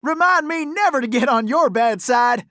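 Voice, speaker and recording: An actor playing a cocky, gallant young cowboy outlaw in a video game, with a folksy, exclamatory Old West Southern drawl. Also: male voice, very high voice, lively intonation, moderate pace, bright, forceful tone, no echo, clean studio sound, heavily acted. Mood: admiring, excited. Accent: American Old West Southern drawl. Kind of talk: animated video game character acting.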